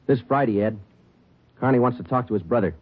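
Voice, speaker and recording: An actor playing a terse, deadpan police detective in a 1940s radio drama, clipped and businesslike. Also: male voice, medium pitch, fairly quick, natural voice. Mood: firm. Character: terse, businesslike male police detective who also narrates. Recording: tinny old radio recording.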